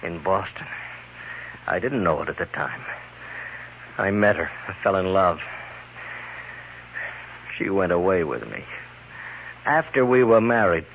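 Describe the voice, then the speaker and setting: Through a narrow, slightly noisy old radio recording comes a lowish male voice: a jealous husband on the run, bitter and tormented. It is played as 1950s western radio drama, wounded and intense. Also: expressive, unhurried, long pauses. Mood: bitter, resigned.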